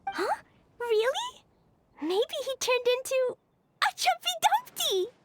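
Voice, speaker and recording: A woman voicing a cheerful, innocent little girl in a video game, with an excitable, childlike manner. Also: very high-pitched, slow, slight background noise, full character voice. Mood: delighted. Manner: childlike, wide-eyed, excitable. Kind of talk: video game character acting.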